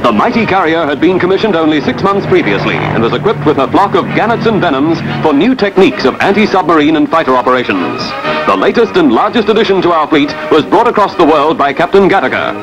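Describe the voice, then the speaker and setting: A man's voice: on the high side, big pitch swings, fast talker, muffled recording. A man delivers formal, declamatory scripted narration in the style of a 1950s newsreel. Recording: 1950s archival newsreel soundtrack. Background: music.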